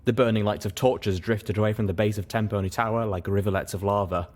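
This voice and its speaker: A man reading first-person story narration as an audiobook, in an expressive, dramatic way. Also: fairly low pitch, fast talker. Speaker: audiobook reader.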